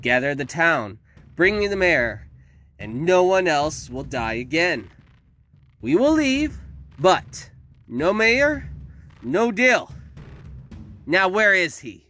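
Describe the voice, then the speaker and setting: A man puts on a wicked young villainess for an audio story, pitched well up and screechy. The delivery is theatrical and hot-tempered, slow and drawn out, with a sickly hack to it, over music. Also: sing-song. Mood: furious, screeching. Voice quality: screechy, hacking, coughing.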